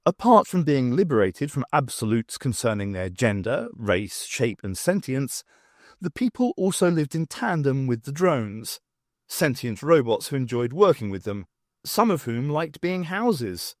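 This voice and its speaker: Male audiobook narrator, medium pitch, expressive storytelling, dry sound. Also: a little slow, no echo.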